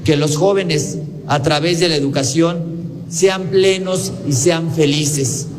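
A man's voice: on the high side, steady tone, takes it slowly. A man speaking as a university official, posing a rhetorical question and addressing an audience earnestly. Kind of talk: short public address. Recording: reverberant hall with faint noise.

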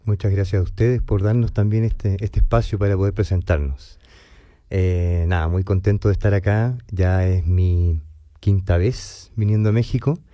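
A Chilean man with a Chilean accent from a touring theatre company, talking in a relaxed, friendly way as a radio guest. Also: low-pitched, moderate pace, no room sound, dull audio. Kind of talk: radio interview.

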